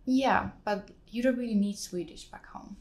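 A woman's voice: fairly high pitch, normal speed. A young woman with a Finnish accent, a guest in a studio interview, talking casually with lots of fillers. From Finland. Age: young adult. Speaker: guest, exchange student. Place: studio, indoors. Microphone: studio mic on boom arm.

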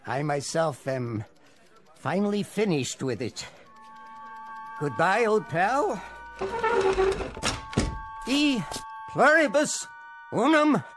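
A man's voice: high voice, animated, takes it slowly, pauses often, over music. An actor playing an eccentric old male barfly in a radio drama, formal and grandiloquent. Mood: grand, amused. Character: eccentric, courtly old drunk in a bar.

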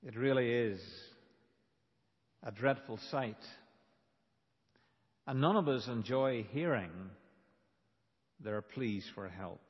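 A man preaching a sermon as a pastor, in a deliberate, emphatic manner with dramatic pauses. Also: medium pitch, slightly rough. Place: large reverberant church. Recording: dull, reverberant church hall recording.

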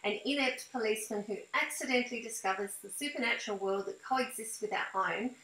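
Female interviewer, middle-aged. Crisp bright tone, slightly high, in an echoey room on a video call. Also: fairly quick. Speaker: interviewer, host. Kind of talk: conversational interview with scripted introduction. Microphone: device mic on a video call.

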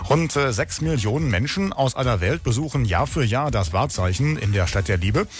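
A man's voice: mid-range voice, animated, moderate pace, a little hiss or hum. A man presenting local radio as host, reading scripted items in a studio.

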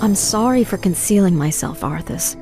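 An actress playing an earnest, troubled young sorceress who pleads gently in a video game. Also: female voice, medium pitch, animated, moderate pace, soft background music, close to an everyday voice. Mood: apologetic concern. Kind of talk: video game cutscene acting.

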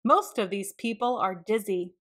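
A woman reading a children's storybook aloud, expressively and with deliberate emphasis for young listeners. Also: medium pitch, a bit bright. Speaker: children's read-aloud narrator.

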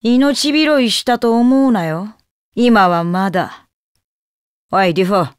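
A woman's voice: medium pitch, unhurried, clear, resonant tone, dry recording, clean studio sound, natural voice. A woman playing a ruthless young demon boy in an anime game, haughty, contemptuous and menacing. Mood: dismissive.

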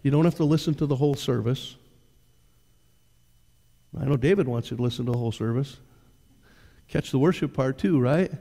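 A man's voice: medium pitch, fairly quick, gravelly, long pauses, mellow. An older man, a pastor preaching conversationally from a church stage and putting direct questions to the congregation. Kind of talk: sermon. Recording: long reverb of a church hall.

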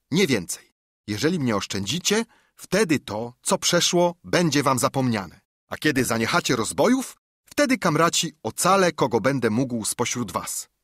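A man's voice: mid-range voice, fairly bright tone, no room sound, studio quality, pitched above the performer's own voice. A man voicing a brave, defiant boy who speaks boldly and declaims to pirates in a storybook reading. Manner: bold, declamatory. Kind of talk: audiobook character acting.

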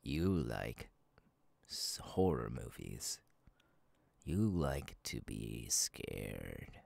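A man playing a menacing, taunting masked slasher killer in an ASMR roleplay, gravelly and partly whispered, speaking slowly and deliberately close to the mic. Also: rumbling low, big pitch swings, darkish tone, no room sound, heavily acted. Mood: coaxing, sinister. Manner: slow, deliberate, taunting, drawn-out pauses. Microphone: close microphone.

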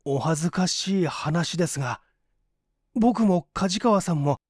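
A man's voice: high voice, expressive, unhurried, a little mellow, no room sound, clean studio sound, pitched above the performer's own voice. A desperate young man voiced by a male narrator in a storybook reading. He pleads humbly and apologetically, his raised voice rising and falling sharply. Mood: desperate, ashamed.

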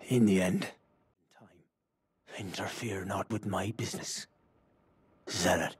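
A deep, very husky male warrior from a fantasy game. He is grim and vengeful, speaking in terse archaic phrases, slightly away from the mic. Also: takes it slowly, recorded from a distance, exaggerated voice. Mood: bitter, hostile. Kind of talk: scripted fantasy game dialogue.

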